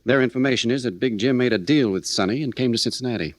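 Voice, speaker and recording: An actor playing a businesslike, matter-of-fact federal narcotics agent in a 1940s radio crime drama. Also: male voice, medium pitch, fast talker, dry recording, studio quality, close to an everyday voice.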